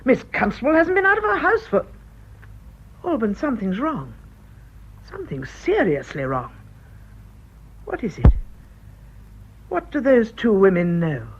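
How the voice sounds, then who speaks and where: A British actress playing a clergyman's forthright, protective wife in a radio drama, sharp-tongued but caring. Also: on the high side, very animated, a little slow, pauses often, husky, heavily acted. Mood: anxious. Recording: muffled, dull radio drama recording.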